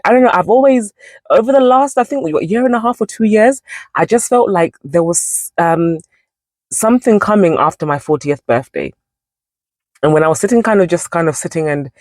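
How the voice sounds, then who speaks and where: A woman's voice: low-pitched, a little mellow, no echo. A woman in her forties hosting a casual devotional talk, rambling and correcting herself as she goes. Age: 40s. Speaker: Bible study channel host.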